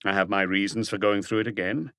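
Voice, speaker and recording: A man's voice: fairly low pitch, steady tone, quick pace, bright, forceful tone, clear, resonant tone, no echo, studio quality. A man voicing a probing, persistent police detective who presses firmly with pointed questions in an audiobook mystery.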